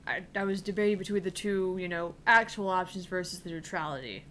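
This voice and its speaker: A woman's voice: lowish voice, a little fast, a bit bright, slight background noise. A woman who streams games, chatting casually as she plays. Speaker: gaming streamer. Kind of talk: casual chat while playing a game.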